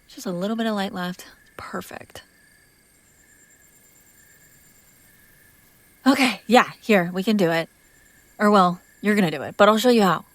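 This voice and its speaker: A woman playing an affectionate, teasing and protective young vampire in a roleplay audio drama, speaking in a halting, casual, intimate way that drifts into breathy whispers. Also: fairly low pitch, no echo. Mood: calm, encouraging.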